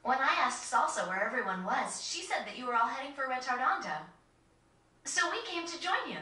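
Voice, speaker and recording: Sweet polite young girl in a game, high voice, lots of echo. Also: animated, fairly quick, sharp tone. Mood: friendly. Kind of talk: video game cutscene voice acting. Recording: echoey, slightly distant game audio.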